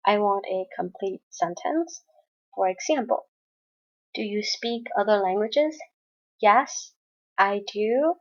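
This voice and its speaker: A woman's voice: medium pitch, lively intonation, slow, long pauses, highs cut off. A woman teaching a college English class, explaining exercises step by step in a recorded lesson. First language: Spanish. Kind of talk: recorded screen-share lesson.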